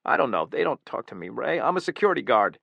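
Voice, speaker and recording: A man's voice: medium pitch, very animated, quick pace, vocal fry, no echo, muffled recording, heavily acted. A man voicing a frightened male security chief who pleads with a voice that cracks upward. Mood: pleading.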